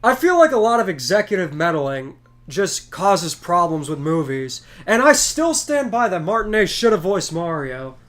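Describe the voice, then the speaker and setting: A man chatting casually while gaming, giving opinions freely and firing off quick questions. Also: high-pitched, sing-song, a bit bright.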